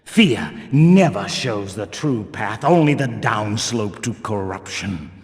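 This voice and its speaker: An actor playing a stern, zealous religious warrior guard in a fantasy video game, speaking in pious, archaic, declamatory phrases. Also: male voice, on the low side, lively intonation, takes it slowly. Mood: grave, disapproving. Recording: long reverberant hall echo.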